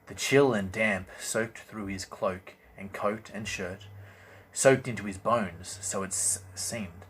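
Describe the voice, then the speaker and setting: A man reading a fantasy novel as dramatic narration, with deliberate, theatrical emphasis. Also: on the low side, a bit bright, poor audio. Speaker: audiobook reader, host. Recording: amateur recording with faint background noise.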